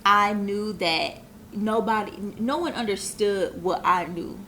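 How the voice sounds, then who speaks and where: A woman's voice: medium pitch, a little slow, a bit bright, slight background noise. A woman in her twenties, a business owner, talking earnestly and reflectively as an interview guest, with many filler phrases. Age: 20s. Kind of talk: casual interview talk.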